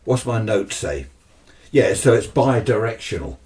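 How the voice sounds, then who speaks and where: A man's voice: lowish voice, lively intonation, a little slow, darkish tone. A British man giving an informal, unscripted hands-on explanation as a hobbyist, chatting to the viewer with asides and questions. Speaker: amateur radio hobbyist presenting a demonstration. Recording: distant mic, noisy with clicks.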